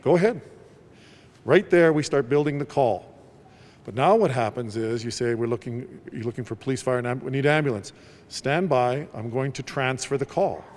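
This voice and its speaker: A man's voice: mid-range voice, normal speed, darkish tone, slight background noise. A man speaking as an emergency services official, explaining a procedure step by step and acting out bits of dialogue. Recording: long echoing reverb of a hall.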